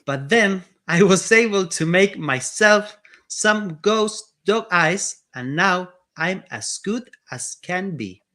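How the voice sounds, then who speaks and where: A man's voice: high voice, lively intonation, slow pace, full character voice. Aldo, a cheerful ghost dog, read by an Argentine man. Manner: gentle, storytelling first-person.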